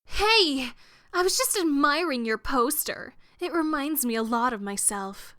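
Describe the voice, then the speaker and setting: Woman voicing a slow-talking, touchy young troll girl. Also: high-pitched, lively intonation, bright, forceful tone, no echo, clean studio sound, full character voice. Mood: defensive.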